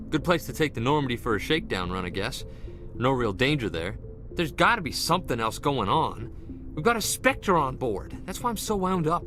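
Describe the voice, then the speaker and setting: A man acting an eager, restless young marine corporal in a video game, excitable and earnest. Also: a little high. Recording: loud music behind the voice. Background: music.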